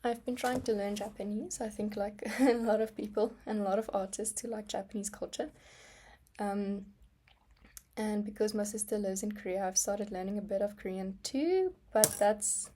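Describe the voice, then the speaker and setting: A woman's voice: mid-range voice, moderate pace, warm tone. A young woman whose first language is Afrikaans, rambling casually about her art in a vlog while stamping and sketching at her desk, with frequent ums and small laughs. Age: young adult. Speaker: artist vlogger.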